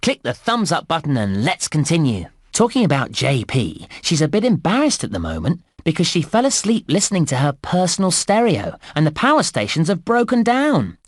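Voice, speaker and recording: Chirpy British park guide for kids' game narration: very animated, encouraging, crisply enunciated, dry studio sound. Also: male voice, fairly high pitch, normal speed, few pauses, no room sound. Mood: chatty, informative. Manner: bouncy, clearly enunciated, encouraging. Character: cheerful, eager male theme park guide in a children's game. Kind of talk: scripted children's game narration.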